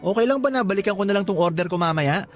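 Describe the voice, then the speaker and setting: An actor playing a friendly, polite young laborer in a radio drama, a little shy and apologetic. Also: male voice, high-pitched, lively intonation, fast, soft background music.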